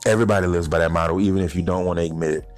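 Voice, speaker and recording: Deep-voiced man podcasting over faint music. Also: fairly even intonation, racing. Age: 30s. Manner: direct address to listeners, slangy, rhetorical questions. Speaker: podcast host. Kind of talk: informal motivational solo podcast talk.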